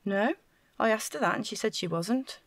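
A woman's voice: mid-range voice, normal speed, no echo, close to an everyday voice. An actress playing an earnest, naive council housing officer in an audio drama, chatty and flustered as she over-explains. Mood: explaining.

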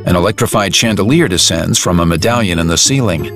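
A man's voice: deep voice, fast. A man reading scripted dramatic narration for an audio drama. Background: music.

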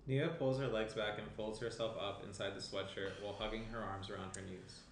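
A young man reads out screenplay action as the narrator. His voice is fairly low. The recording has audible room reflections and clear background noise. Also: steady tone, fairly quick. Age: young adult. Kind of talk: reading screenplay stage directions. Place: small room at a table. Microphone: shared tabletop microphone. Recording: roomy indoor sound, clear background noise.

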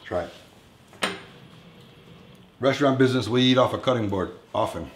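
A man's voice: medium pitch, moderate pace. A man in his fifties hosting a casual cooking demonstration, chatting informally to viewers at home. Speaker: chef hosting a cooking show. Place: commercial-style kitchen, indoors. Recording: echoey room, some background noise, kitchen clatter.

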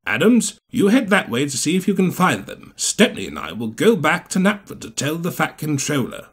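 Man as a responsible, gently firm steam engine. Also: a little high, huge pitch swings, normal speed, breathy edge, voice pushed up, full character voice.

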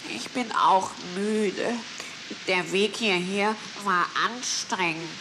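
A man's voice: sits high, slow pace, background noise. A man playing a young, boyish elephant in a children's audio drama, earnest, sensible and reassuring. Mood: calm, reassuring. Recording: roomy echo on 1980s cassette drama.

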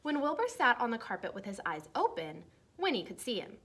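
A young woman reads a children's picture book aloud in an expressive, deliberate way for young listeners. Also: on the high side, a little hiss or hum. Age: young adult. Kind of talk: storybook read-aloud. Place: small decorated room indoors.

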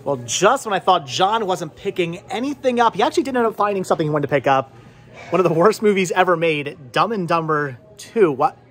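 A man's voice: high voice, lively intonation, a little fast, slightly rough. A middle-aged man hosting a casual vlog in a shop, rambling and repeating words for emphasis, recorded on a camera held at arm's length. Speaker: host. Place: inside a small cluttered movie and game shop. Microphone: phone or camera at arm's length.

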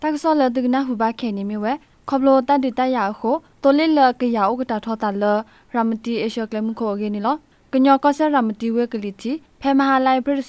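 A woman's voice: on the high side, a little mellow, no room sound. A woman presenting radio news, reading from a script.

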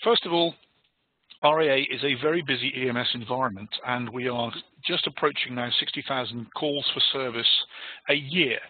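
A man with a British accent giving a webinar presentation in a measured, dryly humorous manner. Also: mid-range voice. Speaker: presenter, chief operating officer. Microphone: phone or web conference line. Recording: tinny phone-like line.

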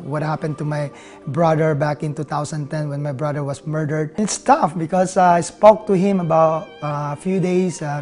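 A man in his thirties from the Philippines with a Filipino accent, telling his own story in an interview, earnest and halting with many fillers. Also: a little high, creaky. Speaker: nurse turned network marketer. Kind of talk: interview testimonial. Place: living room, seated on a leather couch. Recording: soft music under the voice.

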